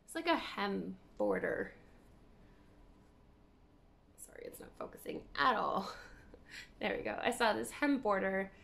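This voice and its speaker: A woman in her thirties from Canada hosting a knitting video podcast, chatting casually and meandering, hoarse from a cold. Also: mid-range voice, lively intonation, a little slow, long pauses, a bit bright. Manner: chatty, meandering, self-correcting. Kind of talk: casual chat to camera. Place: living room by a window, indoors.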